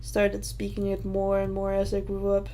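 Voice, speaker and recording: Young woman, Danish accent, livestream host; hesitant rambling chat, soft warm voice. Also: mid-range voice, monotone, moderate pace, soft background music. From Denmark. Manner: rambling, hesitant, many fillers and restarts. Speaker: livestream host answering questions.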